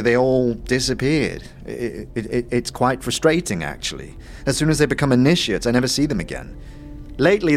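A man playing an eccentric, zealous disciple of a portal god in a fantasy audio drama, theatrical and halting, by turns grand and flustered. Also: medium pitch. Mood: flustered, wistful.

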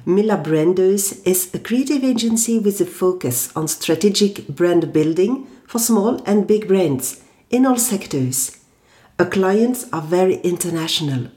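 A woman hosting a podcast, reading a measured, formal introduction before interviewing her guest. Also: on the low side, animated, dark tone. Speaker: podcast host. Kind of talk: scripted intro then interview. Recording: roomy echo.

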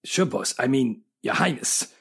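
A man's voice: medium pitch, very animated, measured pace, vocal fry, breathy edge, heavily acted. An actor playing a dim, nervous crook's henchman with uneducated gangster talk, in 1940s radio drama style. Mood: eager, apologetic.

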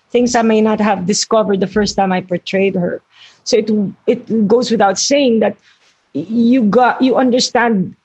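A woman's voice: medium pitch, darkish tone, breathy edge, poor audio. An older woman, an actress talking earnestly about her craft in an interview and often correcting herself mid-thought. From Philippines. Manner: earnest, searching for words, self-correcting. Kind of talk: reflective interview talk.

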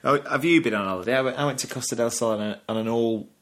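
Casual Lancashire bloke co-hosting a podcast, easygoing mid-pitched voice, quick to laugh. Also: male voice, a bit bright. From Burnley, Lancashire, England. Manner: chatty, laughs often, drops "the". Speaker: podcast co-host. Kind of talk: casual chat. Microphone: video call.

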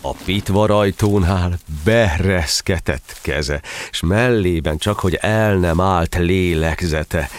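A man narrating a verse tale in a solemn, theatrical storytelling style. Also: low-pitched.

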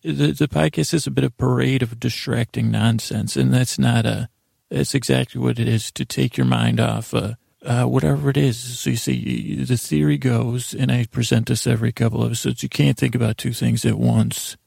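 An American man with a gravelly, raspy voice hosts a bedtime podcast in a rambling, improvised monologue, halting and stammering as he meanders. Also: medium pitch, steady tone, darkish tone, no room sound, studio quality. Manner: meandering and halting, stammers and trails off mid-sentence. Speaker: host of a bedtime sleep podcast.

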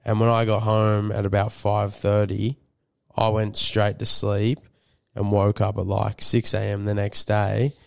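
A man's voice: on the low side, monotone, a little slow, gravelly, no room sound, studio quality. A man co-hosting a podcast, a bit nasal from a cold, rambling with lots of fillers and swearing. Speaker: podcast co-host. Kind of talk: casual chat.

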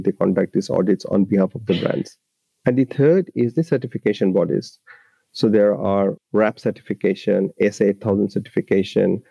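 A man's voice: on the low side, creaky, mellow, slightly rough, no echo, highs cut off. A man from Bangladesh, speaking as a labour rights advocate and guest, giving earnest, explanatory interview answers with frequent restarts.

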